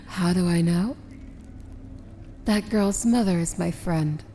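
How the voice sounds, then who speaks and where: Casting: female actor as proud, tender villain princess for ASMR fantasy roleplay; unhurried, theatrical, intimate delivery. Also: lowish voice, slight background noise. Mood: soft, fond. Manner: theatrical, deliberate, often dropping to a hushed whisper. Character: proud, tender princess of evil, wry and regal.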